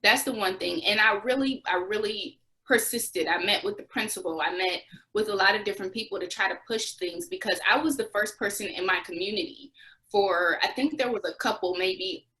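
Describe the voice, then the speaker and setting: A young American woman in a conversational interview, with a middle-pitched voice. She recounts her experiences as a former volunteer and slips into quoted dialogue in an animated way. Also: brisk, low-quality recording. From United States. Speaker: interview guest, former Peace Corps volunteer.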